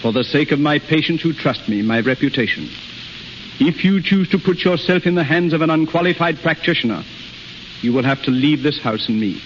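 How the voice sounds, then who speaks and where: A man's voice: fairly high pitch, animated, fairly quick, natural voice. A man acting a stern, protective older father and surgeon, grave and formal in a 1930s radio drama. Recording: muffled, noisy 1930s radio recording.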